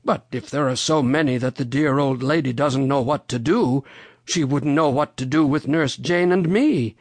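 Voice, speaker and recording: A reader voicing a genial, excitable old gentleman rabbit from a storybook, polite and given to exclaiming. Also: mid-range voice, normal speed, dry recording, lightly acted. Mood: polite, resigned. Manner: polite, exclamatory, wondering aloud.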